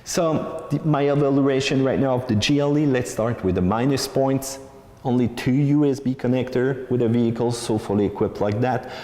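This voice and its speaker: A man's voice: mid-range voice, moderate pace, mellow. Echoey man reviewing cars. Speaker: car reviewer, channel host. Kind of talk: casual video car review, talking to viewers. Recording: echoey with long room reverb.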